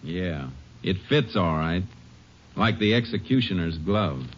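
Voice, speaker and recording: Muffled old-radio sound. laconic American lawman, Texas Ranger, clipped and steady delivery, lowish voice. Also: unhurried, very noisy. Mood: dry, thoughtful. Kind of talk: 1950s American radio drama acting and narration. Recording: muffled vintage radio recording, loud music.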